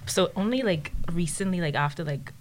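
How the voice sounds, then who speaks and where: A young woman from the Bahamas with a Bahamian accent, hosting a student podcast in a relaxed, chatty way. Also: fairly low pitch, a little fast, slight background noise. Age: young adult. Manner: relaxed, chatty, many "like" fillers. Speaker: podcast host. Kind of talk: casual chat.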